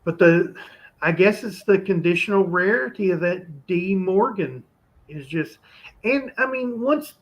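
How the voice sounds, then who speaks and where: A man hosting a relaxed online chat, his voice sitting a little high. He talks in quick, animated bursts. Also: dull audio. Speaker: livestream host. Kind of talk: casual chat about coins.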